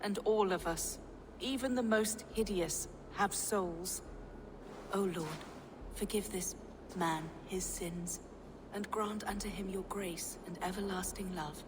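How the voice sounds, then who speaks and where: A woman's voice: mid-range voice, slow, natural voice. A woman playing a devout, solemn medieval abbess in a video game. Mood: prayerful. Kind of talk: dramatic video game acting. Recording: long reverb, clear background noise.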